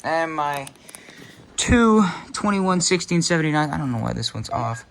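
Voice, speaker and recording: A man giving a casual, unscripted show-and-tell for a hobby video, talking in a halting, offhand way and often interrupting himself. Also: fairly high pitch, big pitch swings, creaky, fairly bright tone, slight background noise.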